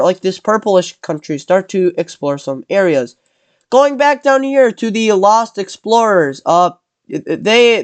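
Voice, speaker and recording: A man's voice: sits high, clear, resonant tone, dry recording. A man with a cold narrating a map scenario in casual commentary, rambling and correcting himself as he goes. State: has a cold.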